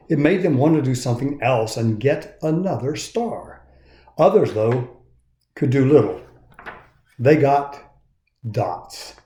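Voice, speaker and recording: An older man in his sixties reads a children's book aloud with an expressive delivery. His voice is mellow and rises and falls in animated swings. The recording has the echo of an indoor room. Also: mid-range voice. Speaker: storyteller reading a children's book. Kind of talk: expressive read-aloud storytelling. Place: indoors in a woodworking shop. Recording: roomy, echoey sound.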